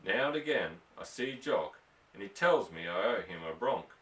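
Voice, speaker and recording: A man's voice: on the low side, animated, normal speed, fairly bright tone. A man voicing a laconic, plainspoken bronco buster in a storybook reading. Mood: matter-of-fact yarning. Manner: folksy drawling rustic dialect. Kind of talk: storybook character voice in audiobook. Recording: roomy echo, distant from microphone.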